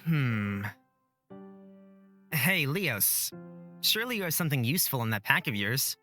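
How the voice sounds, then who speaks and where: A man's voice: medium pitch, big pitch swings, measured pace, bright, forceful tone, soft background music. An actor playing an earnest young male hero in a fantasy visual novel, questioning and easily exasperated.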